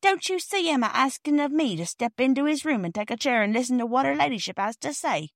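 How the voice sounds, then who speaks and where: A woman's voice: mid-range voice, animated, brisk, dry recording, clean studio sound, lightly acted. A woman voicing a huffy, offended working-class male railway porter in a storybook, with sulky, colloquial talk. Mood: indignant.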